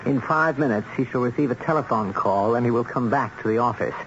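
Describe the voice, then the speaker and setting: An actor playing a polite, coldly businesslike man who arranges killings, in a radio drama, speaking in a measured, commanding way. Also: medium pitch, lightly acted. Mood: calmly commanding. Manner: courteous, measured, calmly commanding. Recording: dull, noisy old radio recording.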